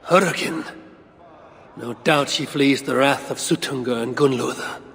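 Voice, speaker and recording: An actor playing a grave warrior god in a video game, formal and measured. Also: male voice, mid-range voice, gravelly, a bit bright, slightly rough, heavily acted. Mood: stern. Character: solemn, grave Norse god warrior lord. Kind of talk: video game cutscene acting. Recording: long echoing reverb, faint ambient noise.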